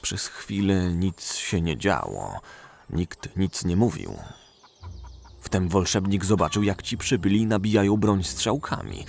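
Male narrator for fantasy audio drama; very deep voice with creaky edge. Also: animated, slow pace, darkish tone, slight background noise.

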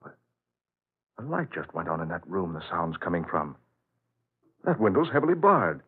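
Uneasy nosy guy in old radio play, husky, voice swings all over, muffled lo-fi sound. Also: sits low, very animated, moderate pace, full character voice. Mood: wary, investigating. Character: uneasy, inquisitive man visiting an old friend. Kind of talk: 1940s radio drama acting.

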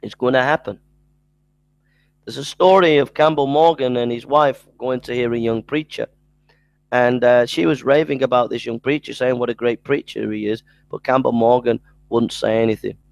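Gravelly man lecturing on a headset. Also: medium pitch, fairly even intonation, moderate pace, no room sound, poor audio. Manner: earnest, repeats scripture references, stumbles and restarts. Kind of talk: recorded Bible lecture. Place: small room at home. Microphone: headset mic.

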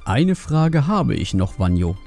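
A man voicing a sceptical, weary village elder who questions others in a measured, deliberate way for a fantasy audiobook. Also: mid-range voice, big pitch swings, gravelly, a little mellow, soft background music, heavily acted.

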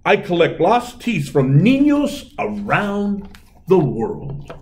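A man voicing a proud, stubborn, gallant mouse from a storybook, with emphatic drawn-out exclamations. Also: high-pitched, wildly swooping pitch, a little mellow, voice pushed up, heavily acted.

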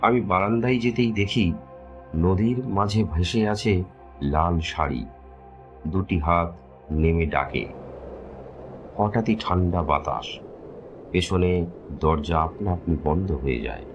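Deep-voiced man narrating a horror story. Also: expressive, slow pace, long pauses. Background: music.

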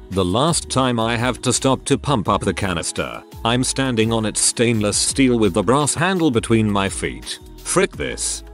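A man narrating forum stories in a scripted reading style. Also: fairly low pitch. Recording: narration over faint background music.

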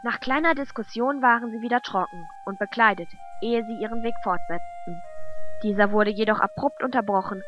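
A woman's voice: on the high side, a little fast, lo-fi sound. A woman reading scripted narration for a fantasy audio drama. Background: background music.

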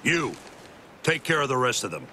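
An actor playing a cold, taunting military commander in a video game, speaking with measured authority. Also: male voice, medium pitch, very animated, bright, forceful tone, a little hiss or hum. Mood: stern command. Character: cold menacing military commander interrogating a prisoner. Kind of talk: dramatic video game acting.

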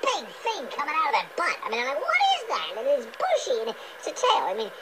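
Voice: high-pitched, wildly swooping pitch, fast talker. An actor voicing a cocky, rambling British male chipmunk with an attitude for a comic kids' radio show. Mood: mocking. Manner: rambling, insistent, boastful, mildly defensive. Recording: noisy off-screen capture of radio playback.

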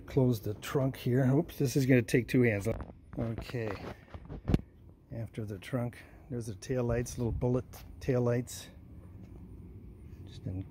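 Man, mellow voice, casual walkaround narration, handheld phone mic, frequent ums, trails off quietly, faint background noise. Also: mid-range voice. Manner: unhurried, plain-spoken, many ums and uhs, trails off quietly. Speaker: vintage car restoration vlogger. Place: old car in a garage and driveway. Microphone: phone camera held in hand.

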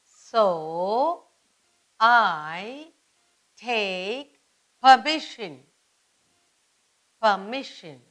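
A woman's voice: medium pitch, animated, extremely slow, pauses often, a bit bright. A middle-aged woman with an Indian accent teaching children, repeating and stressing key words in a didactic way. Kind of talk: children's lesson at a whiteboard. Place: indoor studio in front of a whiteboard.

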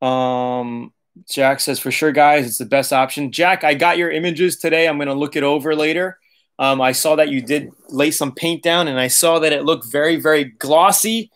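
Late-30s male host, loose and chatty, laughs easily, a touch high-pitched; home webcam livestream. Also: normal speed, a bit bright. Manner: loose, chatty, laughs often, swears casually. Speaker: auto body channel host. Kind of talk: casual livestream Q&A reading chat. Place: living room, seated on leather couch.

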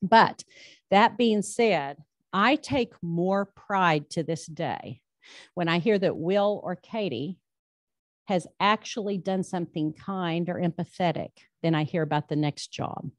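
Low-voiced woman at a podium. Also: measured pace, dry recording. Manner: folksy, emphatic, self-deprecating. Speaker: state labor commissioner speaking to a club. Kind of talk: public speech with audience questions. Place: meeting room, standing at a podium. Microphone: handheld microphone.

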